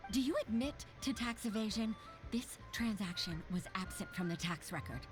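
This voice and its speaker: A woman's voice: mid-range voice, lively intonation, normal speed, music in the background, close to an everyday voice. A woman voicing an earnest young official in a video game, sincere and eager to learn. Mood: accusing. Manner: sincere, warm-hearted.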